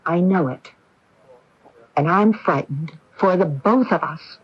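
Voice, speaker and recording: An actress playing an anxious, frightened woman with a split personality in a radio mystery drama, halting and confiding. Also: on the low side, slightly rough. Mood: fearful, confiding. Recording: muffled old AM radio broadcast.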